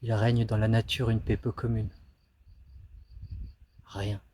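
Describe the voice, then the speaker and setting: A man acting an anguished, bitter and dreamy teenage schoolboy in a declamatory theatrical monologue, swinging between outbursts and hushed musing. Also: lowish voice, little pitch movement, takes it slowly, a little hiss or hum. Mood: dreamy, melancholy.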